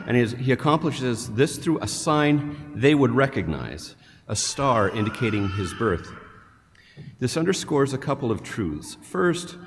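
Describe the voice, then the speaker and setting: An older man, a deacon, delivering a measured homily read from a prepared text at a lectern in a large cathedral. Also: medium pitch, a little hiss or hum. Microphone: headset mic, standing at a lectern. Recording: long reverb of a large church.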